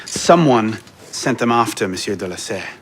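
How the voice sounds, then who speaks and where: An actor playing a serious young assassin in a video game, speaking in a terse, earnest, understated way. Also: male voice, fairly low pitch, animated, moderate pace, a little hiss or hum, close to an everyday voice. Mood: earnest, subdued. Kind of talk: video game cutscene acting.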